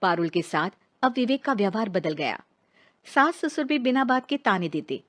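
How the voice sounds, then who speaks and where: A woman's voice: mid-range voice, sing-song, normal speed, a bit bright. A woman narrating a scripted dramatic story as its storyteller.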